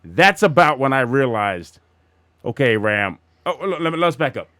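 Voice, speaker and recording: A man in his forties from Phoenix, hosting a podcast in a loose, energetic chat, often repeating himself. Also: medium pitch, measured pace, dry recording, clean studio sound. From Phoenix, USA. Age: 40s. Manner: energetic, talks over others, repeats himself. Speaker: podcast host. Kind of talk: casual chat. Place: room with others, walking around.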